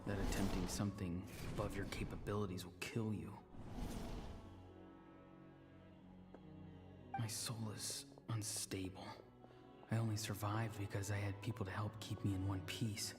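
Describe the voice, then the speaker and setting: A man's voice: on the low side, level tone, moderate pace, lightly acted. An actor playing an earnest, melancholy young man in a video game who feels weak, speaking in a subdued, introspective way. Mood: brooding. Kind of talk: dramatic video game voice acting. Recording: reverberant game audio under clear music.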